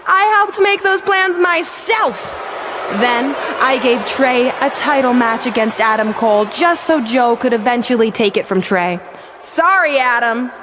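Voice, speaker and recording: Gloating female wrestling villain, high voice, smug, mocking, video game promo, faint noise behind. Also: a bit bright. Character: gloating, vain, scheming villain woman wrestler.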